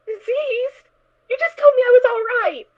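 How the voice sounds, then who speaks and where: A woman's voice: very high-pitched, lively intonation, moderate pace. A woman voicing a naive, cheerful little filly pony in an audio drama, bubbly, childish and stubborn. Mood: puzzled, huffy. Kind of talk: fan audio drama acting. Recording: tinny, narrow phone-like audio.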